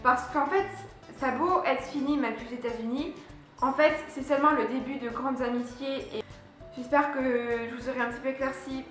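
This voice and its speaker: A woman's voice: a little high, fairly bright tone. Young French woman in an echoey room. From France. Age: young adult. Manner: chatty, many fillers and restarts. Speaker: vlogger. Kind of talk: casual vlog recap. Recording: very echoey room with faint music.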